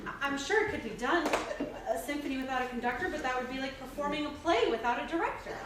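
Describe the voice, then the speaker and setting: An actress plays a courteous, knowledgeable woman in a play reading, hesitant and quietly wry. Her voice sits a little high. The sound is distant and full of echo, with clear noise. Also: expressive, fast talker. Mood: explanatory. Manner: hesitant, explanatory. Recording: distant, echoey, noisy stage recording.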